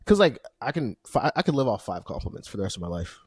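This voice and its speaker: A man's voice: lowish voice, very animated, fast, breathy edge. A young man in his twenties hosts a casual podcast chat, his voice swinging up and down with lots of energy. He laughs often and swears casually. The recording is close and dry, with no room echo. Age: 20s. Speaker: podcast host. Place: apartment room.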